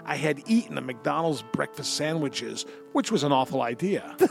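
A man's voice: fairly high pitch, moderate pace, gravelly, soft background music. A man co-hosting a podcast, chatting casually and crudely with comedic gusto and free swearing. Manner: animated, crude, swearing freely. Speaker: podcast co-host. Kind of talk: bawdy comedic casual chat.

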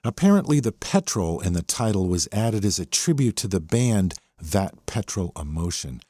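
Husky, low-voiced man hosting a music podcast. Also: a little mellow, no echo, clean studio sound. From USA. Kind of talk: conversational music commentary.

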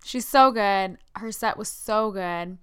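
Hoarse, chatty young woman podcaster. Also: medium pitch, slow, no room sound, clean studio sound. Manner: chatty and excited, fillers like "like" and "you know". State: hoarse from losing her voice. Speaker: podcast host. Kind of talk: casual solo podcast monologue.

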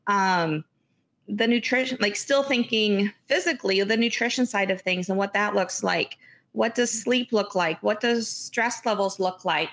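A woman guest on a podcast, a running coach, talking in an upbeat, quick and energetic way. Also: medium pitch. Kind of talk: casual podcast interview.

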